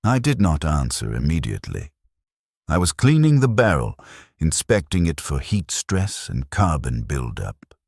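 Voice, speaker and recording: A man with an extremely deep, husky voice narrates a military story in the first person. His delivery is grave, measured and clipped, yet the pitch moves in a lively way from phrase to phrase. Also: no echo, clean studio sound.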